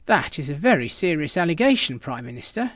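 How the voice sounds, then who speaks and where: An actor plays a ruthless male intelligence chief in a radio drama. His voice sits a little high for a man, and he stonewalls with brusque, dismissive confidence. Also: sing-song, a little fast, fairly bright tone. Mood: stern, urgent.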